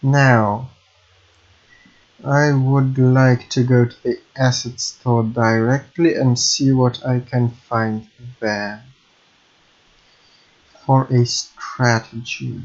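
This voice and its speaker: Guy slowly talking thru his coding, hesitant, keeps correcting himself, kinda dull lo-fi mic. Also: mid-range voice, fairly even intonation. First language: Russian. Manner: hesitant, self-correcting, apologetic. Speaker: hobby game programmer. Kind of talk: thinking aloud while screen recording.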